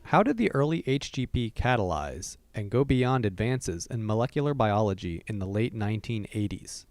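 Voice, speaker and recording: A man's voice: fairly low pitch, sing-song, moderate pace, dry recording. A man asking short, prepared interview questions.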